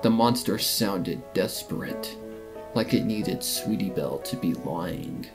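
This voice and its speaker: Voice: low-pitched, lively intonation, slow pace. A narrator giving a scripted, dramatic reading of a story. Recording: music under the voice. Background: clear background music with guitar.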